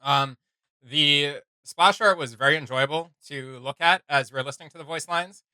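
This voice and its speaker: A man with a very bright, sharp voice pitched a little high reacts in easygoing chat. He hesitates often and fills his thoughts with ums and likes, recorded close and dry. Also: moderate pace, clean tone, no room sound, low-quality recording. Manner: hesitant, filler-heavy musing. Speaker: reaction video host.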